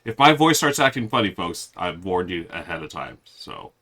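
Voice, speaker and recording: A man's voice: low-pitched, big pitch swings, normal speed, sharp tone. A man co-hosting a board game live stream, rambling casually and talking to viewers as folks, his voice a bit unsteady with a cough drop in. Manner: rambling, restarts often, addresses viewers as folks. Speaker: co-host of a board game show.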